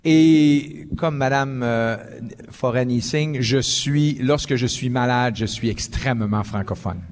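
Male panelist, unhurried and reflective, in a reverberant hall. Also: mid-range voice. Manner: slow, reflective storytelling with hesitations. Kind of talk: panel discussion.

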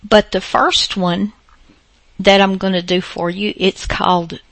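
A woman podcast host giving a casual, unscripted introduction, rambling and pausing often as she goes. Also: mid-range voice, level tone, no room sound.

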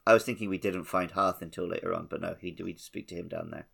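A man's voice: low voice, fairly even intonation, quick pace, vocal fry, a bit bright, husky. A man co-hosting a podcast, chatting casually and informally about a video game. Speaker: podcast co-host.

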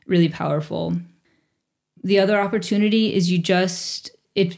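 Creaky-voiced woman teacher, reflective. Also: lowish voice. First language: English. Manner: reflective, with small restarts. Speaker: teacher at a bilingual school. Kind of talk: interview answers.

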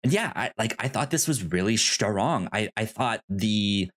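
A man co-hosting a podcast, discussing films casually in a halting, rambling way with easy laughter. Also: fairly low pitch, animated, a bit bright. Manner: rambling, stammering, laughs easily. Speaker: podcast co-host.

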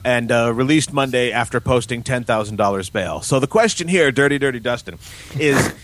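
A man's voice: medium pitch, expressive, a little fast, fairly bright tone. A man co-hosting a casual podcast, reading news stories with wry, joking commentary. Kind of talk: casual comedic news chat.

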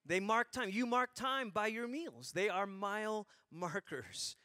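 A man in his forties from Malaysia, preaching a sermon through animated, humorous stories. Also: sits high, measured pace, no room sound, studio quality.

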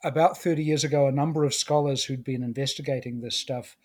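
A middle-aged man from New Zealand talks with a husky, slightly breathy tone at an ordinary pitch. He explains things in a measured, unhurried way, with frequent hesitations as he talks through his work. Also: fairly even intonation, a little mellow. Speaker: guest, martial arts and stage combat instructor. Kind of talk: conversational podcast interview.

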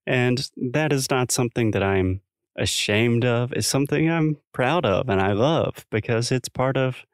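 A man's voice: medium pitch, a little slow, clean tone, dry recording, studio quality. A man from South Carolina co-hosting a podcast, talking thoughtfully and explaining with examples in a casual chat. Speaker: podcast co-host.

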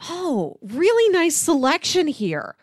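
A woman's voice: high-pitched, wildly swooping pitch, takes it slowly, no echo, clean studio sound. A woman co-hosting a podcast, chatting casually about films. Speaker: podcast co-host.

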